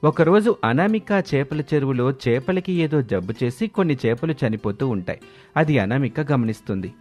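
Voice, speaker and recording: A man's voice: mid-range voice, animated, moderate pace, darkish tone. A man narrating a scripted family story as its storyteller. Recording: music behind the voice.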